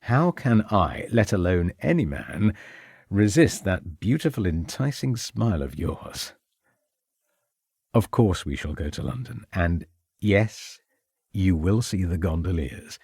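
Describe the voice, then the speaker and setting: A man voicing a composed Victorian gentleman detective who questions in a measured, inquisitive way. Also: lowish voice, sing-song, husky, dry recording, studio quality, full character voice.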